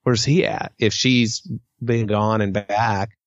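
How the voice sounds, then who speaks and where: A man's voice: lowish voice, steady tone, takes it slowly, no room sound, lo-fi sound. A man co-hosting a podcast in casual fan discussion, thinking aloud and hedging as he goes. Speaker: podcast co-host.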